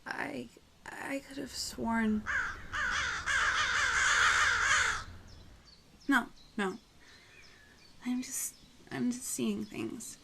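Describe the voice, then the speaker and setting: A woman's voice: fairly high pitch, steady tone, takes it slowly, gravelly, breathy edge, exaggerated voice. A woman playing an anxious, stubborn farm schoolgirl in a horror radio drama, speaking hesitantly. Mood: shaken, disbelieving.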